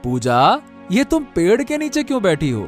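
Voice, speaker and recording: A man voicing a concerned, excitable village man in a cartoon, questioning and emphatic. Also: high-pitched, normal speed, faint music behind.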